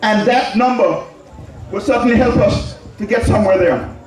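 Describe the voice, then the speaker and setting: A man with an Anguillan Caribbean accent giving a political public address in an emphatic, deliberate manner, repeating phrases for stress. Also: sits high, darkish tone. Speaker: public speaker addressing a community. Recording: very echoey public address, distant mic.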